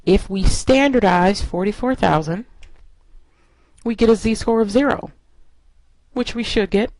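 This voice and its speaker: A woman's voice: mid-range voice, unhurried, a little mellow, no echo, poor audio. A woman instructor narrating a lesson in an explanatory, step-by-step way. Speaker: statistics instructor.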